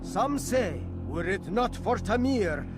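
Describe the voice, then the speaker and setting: An actor playing a gossipy medieval storyteller from a market town in a video game. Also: male voice, sits high, sing-song, slow, full character voice. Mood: storytelling. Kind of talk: scripted video game cutscene acting. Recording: music behind the voice.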